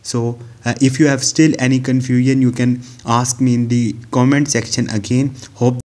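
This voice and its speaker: A man's voice: mid-range voice, level tone, moderate pace, darkish tone. Man narrating a step-by-step tutorial. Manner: instructional, step-by-step. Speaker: tutorial host. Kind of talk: screen-recorded how-to tutorial narration.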